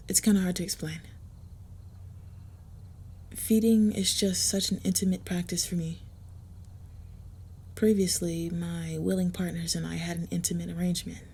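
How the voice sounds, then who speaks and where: A woman playing a guarded, gentle, possessive vampire in an intimate roleplay audio, soft and hushed, with a hesitant, measured delivery. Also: lowish voice. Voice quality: soft, husky, breathy. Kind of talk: intimate roleplay audio with first-person narration.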